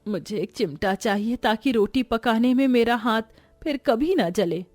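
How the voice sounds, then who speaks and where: A woman playing a kind, dutiful young mother and daughter-in-law in a cartoon story, gentle and respectful. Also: fairly high pitch, lively intonation, unhurried, dry recording, faint music behind. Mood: earnest. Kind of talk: animated cartoon story acting.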